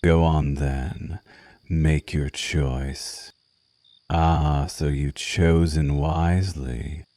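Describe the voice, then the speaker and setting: Man acting a seductive vampire lover, dark mellow voice, close dry sound, languid teasing murmur, ASMR roleplay. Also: extremely low pitch, fairly even intonation, drawn-out words, no echo, exaggerated voice. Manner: languid, teasing, purring endearments.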